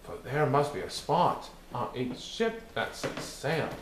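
A man's voice: mid-range voice, big pitch swings, slow pace. A man reading a children's picture book aloud in verse, with a theatrical, rhythmic, sing-song delivery. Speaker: storybook reader. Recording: roomy echo with some background noise.

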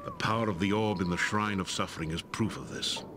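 An actor playing a grave, scholarly agent of a secret order in a fantasy video game, speaking in a formal, measured way. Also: male voice, on the low side, noisy background, lightly acted. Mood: grave, informative.

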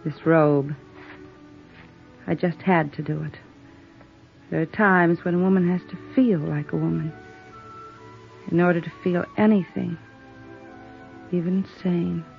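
An actress playing a weary, bitter widow in a halting, emotional, world-weary manner, in the style of 1950s radio drama. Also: female voice, fairly low pitch, creaky. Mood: weary, confiding. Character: weary, bitter widow fighting back grief. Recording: dull old radio recording.

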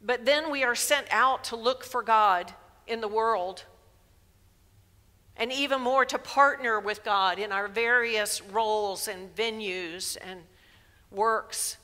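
A woman in her sixties preaching a sermon in a church sanctuary through a headset mic, measured and emphatic. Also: a little high, sharp tone. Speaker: pastor. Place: large church sanctuary, indoors. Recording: long reverb of a church hall.

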